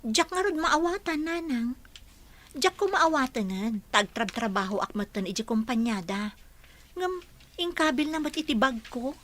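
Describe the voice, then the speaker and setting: A woman's voice: high voice, expressive, moderate pace, a bit bright. A woman acting as an earnest young office employee in an Ilocano radio drama, with an Ilocano accent. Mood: confiding, troubled.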